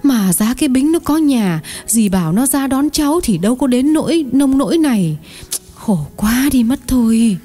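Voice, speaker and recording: Woman acting a high-voiced, fussing old village aunt. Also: lively intonation, moderate pace, warm tone, soft background music. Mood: pitying, fussing. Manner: exclaiming, chatty, sighing over misfortunes. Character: fussing, kind-hearted older village aunt, startled and pitying. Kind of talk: acted dialogue in an audio story.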